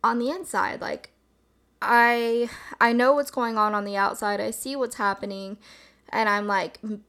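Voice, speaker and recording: A woman hosting a solo podcast in a casual, reflective, rambling way, with a slightly hoarse just-woke-up voice. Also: fairly high pitch, steady tone, a little slow, fairly bright tone. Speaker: podcast host.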